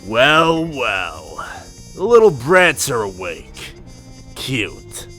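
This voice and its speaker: Unhinged, sharp-voiced birdman villain. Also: medium pitch, big pitch swings, very slow, soft background music, voice pushed up, full character voice. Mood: mocking menace. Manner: bitter sarcastic mockery, bellowing and cursing. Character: unhinged, sarcastic, murderous birdman villain, male. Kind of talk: audio drama villain acting.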